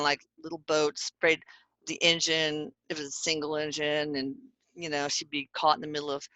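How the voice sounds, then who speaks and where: A woman's voice: low-pitched, pushed and bright, dry recording, rough recording. A middle-aged woman hosting a casual chat, reading aloud from notes with joking asides and spelling out names. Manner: chatty, jokey, reads with asides and spelled-out names. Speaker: host.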